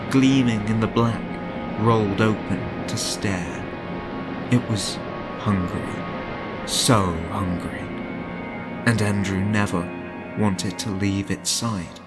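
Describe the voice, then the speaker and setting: A man narrating a horror story in a dramatic, suspenseful and deliberate audiobook style. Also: lowish voice. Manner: suspenseful, deliberate, theatrical delivery. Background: music.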